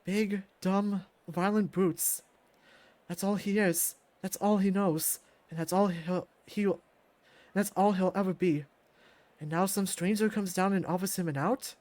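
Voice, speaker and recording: High-voiced man narrating a story. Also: fairly even intonation, unhurried, darkish tone, dry recording. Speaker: narrator reading a visual novel aloud.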